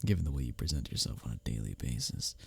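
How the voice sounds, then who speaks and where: A man acting an arrogant, teasing office rival who is secretly smitten, in an intimate audio roleplay, often breathy and near a whisper. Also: a bass voice, animated, a little mellow, dry recording, full character voice. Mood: flustered, defensive. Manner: smirking, teasing, halting when flustered. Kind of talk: intimate ASMR audio roleplay addressed to the listener.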